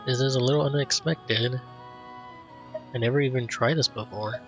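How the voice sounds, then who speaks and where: A man's voice: mid-range voice, fairly even intonation, normal speed. A man doing laid-back, conversational let's play commentary as he games. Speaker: gamer, let's player. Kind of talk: casual gameplay commentary, reading dialogue choices. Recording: dull lo-fi mic, faint game music.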